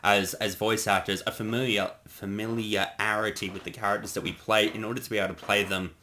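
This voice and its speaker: A man's voice: on the low side, level tone, brisk, sharp tone. A man co-hosting a casual commentary on a parody series he directed, rambling with lots of fillers and restarts. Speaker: co-host of a creator commentary.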